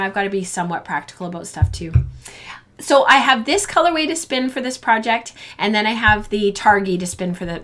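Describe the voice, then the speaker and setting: A woman hosts a live fiber arts stream, talking casually to her viewers. She rambles a little, with frequent ums and false starts. Her voice sounds tired and a bit hoarse. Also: medium pitch, fairly bright tone. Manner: chatty, rambling, lots of ums and restarts. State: losing her voice. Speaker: podcast host of a fiber arts show.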